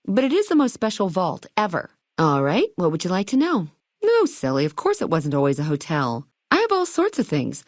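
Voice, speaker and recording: A woman's voice: fairly low pitch, huge pitch swings, moderate pace, dry recording, clean studio sound. A woman playing a perky, eager and quirky hotel keeper in a video game, chatty and full of chirpy salesmanship and playful old-fashioned slang. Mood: fond, proud. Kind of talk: video game dialogue lines.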